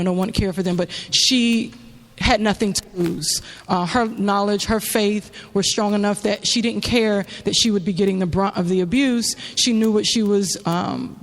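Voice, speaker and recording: A woman giving a semi-prepared religious talk in a halting, reflective way, telling a story with frequent pauses and fillers. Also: mid-range voice. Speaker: speaker giving a religious address. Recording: long hall reverb.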